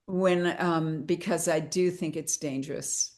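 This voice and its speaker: A woman hosting a reflective conversation, speaking slowly and choosing her words with care. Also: low voice, steady tone, a little mellow. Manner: slow, thoughtful, careful with words. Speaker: host.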